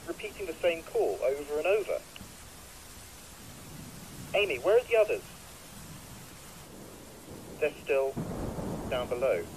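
A man's voice: a little high, natural voice. A man playing a terrified, despairing sailor who sends distress calls, panicked and pleading, in a horror audio drama. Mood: fearful. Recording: noisy radio-style recording, rain and thunder. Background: storm, rain and thunder.

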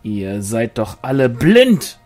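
A man's voice: medium pitch, wildly swooping pitch, slow pace, a little mellow, faint music behind. A man voicing a defiant, idealistic rebel angel, emphatic and reproachful, in an audiobook with a German accent. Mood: indignant.

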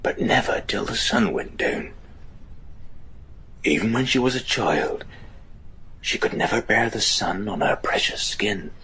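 A man playing a haunted, superstitious rural trapper in a gothic horror radio drama, with a rough, husky voice. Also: on the low side, sing-song, unhurried, pauses often, sharp tone, exaggerated voice. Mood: haunted recollection.

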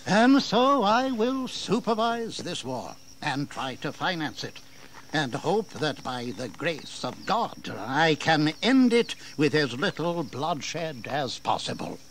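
An actor playing an indignant, authoritative colonial governor with bitter sarcasm and an oratorical, emphatic delivery in a historical audio drama. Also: male voice, fairly high pitch, big pitch swings, slow pace, fairly bright tone, heavily acted, rough recording. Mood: bitter, stern. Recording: 1980s audio drama with background noise.

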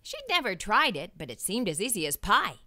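A woman reading a children's storybook aloud as an expressive narrator. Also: mid-range voice, fairly quick.

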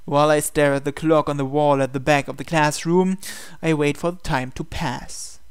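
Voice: medium pitch, fairly even intonation, normal speed, clear, resonant tone. A person reading visual novel text aloud for a let's play, acting out the dialogue expressively. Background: game music.

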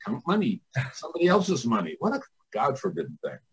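Husky older man, candid and salty. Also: medium pitch, huge pitch swings, fairly quick. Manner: candid, profane, folksy. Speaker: artists' coach and mentor, podcast guest. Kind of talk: casual motivational chat.

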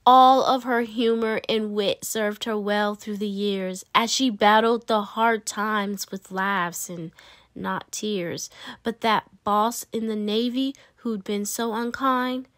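Lady reading a kids picture book, takes it slow, really expressive storyteller, no room echo. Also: mid-range voice, studio quality. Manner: dramatic, emphatic storytelling with playful asides. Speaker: read-aloud storyteller. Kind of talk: expressive children's picture book read-aloud.

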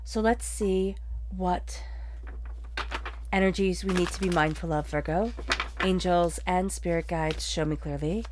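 A woman's voice: on the low side, measured pace, pauses often, noisy background. A woman with a congested voice gives a conversational spiritual tarot reading, earnestly repeating and rephrasing for emphasis. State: congested from allergies. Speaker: tarot reader.

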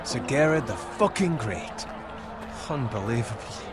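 A man's voice: medium pitch, animated, measured pace, loud music behind the voice, over a noisy crowd, close to an everyday voice. An actor playing a resentful, sneering young male squire in a medieval fantasy game, grumbling and sarcastic. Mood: bitter sneering. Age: young adult. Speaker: video game character actor. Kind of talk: cinematic fantasy game dialogue. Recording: clearly noisy outdoor game ambience.

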